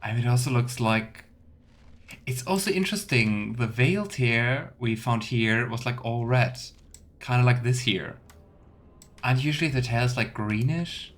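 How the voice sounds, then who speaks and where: A man's voice: mid-range voice, measured pace, fairly bright tone. A man giving casual, musing let's play commentary as a game streamer, with playful asides to his viewers. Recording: faint noise with keyboard clicks.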